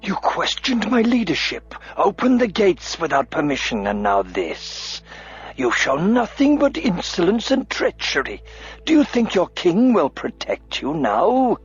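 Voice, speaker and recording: A man's voice: high-pitched, very animated, slow, a little mellow, breathy edge, pitched above the performer's own voice, heavily acted. Man doing a cold, furious bald mind-reader. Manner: harsh, curt, clipped threats. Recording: lo-fi audiobook with faint music.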